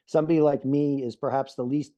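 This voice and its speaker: A man's voice: medium pitch, steady tone, fast, mellow, no room sound. Earnest middle-aged man on a muffled video call. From Canada. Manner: earnest, repeats phrases while building points. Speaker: panelist, peace activist. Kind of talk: online panel talk.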